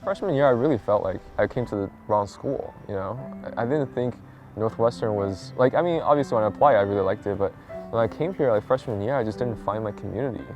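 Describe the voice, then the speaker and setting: A young man in his twenties from China, a student, talking in an interview in a relaxed, hesitant way with restarts. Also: on the low side, fast, dull audio, soft background music. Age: 20s. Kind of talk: interview and casual chat.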